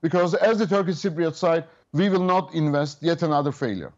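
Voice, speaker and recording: A man in his fifties, a government representative speaking over a remote link, measured and official with frequent hesitations. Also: on the high side, a little mellow, dull audio. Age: 50s. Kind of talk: remote interview guest.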